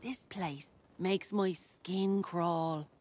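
A woman's voice: lowish voice, steady tone, drawn-out words, a little mellow. An actress voicing a wry, streetwise, mercenary woman treasure hunter in a fantasy video game, talking in a sly, colloquial way. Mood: sly, bargaining.

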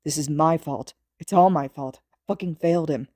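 A woman voicing a crying teenage boy hero, shouting with guilt in a read-aloud story. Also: sits low, normal speed, husky, no echo, studio quality. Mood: sobbing.

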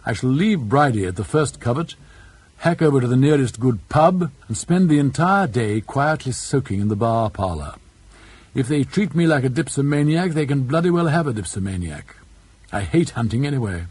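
A male audiobook reader acts a sulky young aristocratic man with a Received Pronunciation accent. He is languid and defiant, with a very husky tone and swooping intonation. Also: mid-range voice, animated, moderate pace, darkish tone, dry recording. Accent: English Received Pronunciation.

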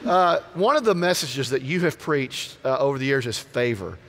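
A man's voice: mid-range voice, lively intonation, moderate pace, a bit bright. A man in his fifties hosting a conversational interview, asking questions and prompting his guest. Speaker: interviewer, host. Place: large convention hall stage, indoors. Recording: faint background noise on stage.

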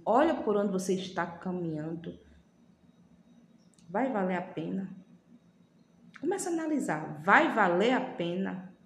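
A woman in her thirties from Brazil delivers a devotional sermon straight into her phone, held at arm's length in a small room. She pleads fervently and often slows down to stress her words. The room adds a noticeable echo, with a faint hum underneath. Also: mid-range voice, darkish tone. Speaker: lay preacher. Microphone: phone camera at arm's length.

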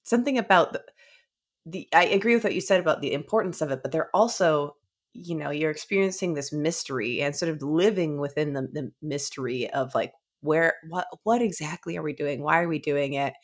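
A woman's voice: low voice, brisk. A woman in her thirties co-hosting a book discussion, talking casually and searching for her words. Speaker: book club podcast co-host. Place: indoor room, remote video call.

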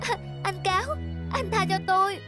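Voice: very high-pitched, steady tone, moderate pace. An actor voicing a small, good-natured sparrow in a cartoon, chirpy and earnest. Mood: pleading. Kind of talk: cartoon dub acting. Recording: music under the voice.

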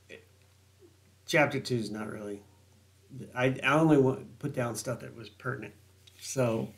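A man's voice: on the low side, gravelly, fairly bright tone. A middle-aged man reading and explaining building code sections during a screen-share walkthrough, with lots of ums and restarts. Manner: rambling, filler-heavy reading of code text. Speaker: building design consultant.

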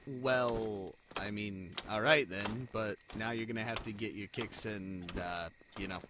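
A man voicing a young spider adventurer and secret lost prince in a fantasy audio roleplay, snarky, anxious and haltingly flustered. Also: fairly low pitch, fairly even intonation, noisy background, natural voice. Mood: easygoing, chatty. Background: crickets, night forest ambience.

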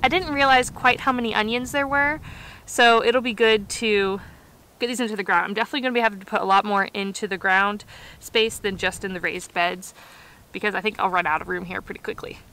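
A woman's voice: mid-range voice, steady tone, a little fast, bright, forceful tone, poor audio. A woman in her thirties talking casually and explaining as she works, hosting a gardening vlog outdoors. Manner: explanatory, conversational. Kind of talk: casual instructional vlog talk while working. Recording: clear outdoor background noise.

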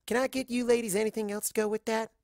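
A narrator voicing a friendly, chatty waitress pony who gently reassures and encourages in a read-aloud story. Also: mid-range voice, fairly even intonation, quick pace, no room sound, studio quality, voice pushed up, lightly acted.

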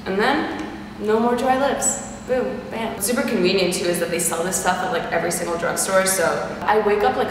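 A young woman in her twenties with a stuffy, nasal voice from a sinus infection, chatting bubbly and rambling to camera about her favorite products. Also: medium pitch. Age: 20s. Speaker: beauty and fashion vlogger. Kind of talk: casual chat to camera. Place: large bright loft indoors. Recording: roomy echoey loft, mic far off, noise behind.

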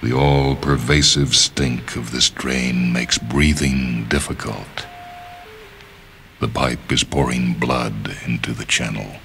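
A man narrating literary fiction as a dramatic reading, in a measured, deliberate manner with pauses. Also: a bass voice, steady tone, creaky, fairly bright tone, a little hiss or hum. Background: music.

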